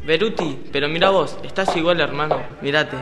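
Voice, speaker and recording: A man's voice: on the high side, brisk, natural voice. A young man playing a friendly revolutionary veteran in an amateur student radio play. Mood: warm surprise. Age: teenager or young adult. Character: French, friendly revolutionary veteran meeting old comrade. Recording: echoey hall, music behind.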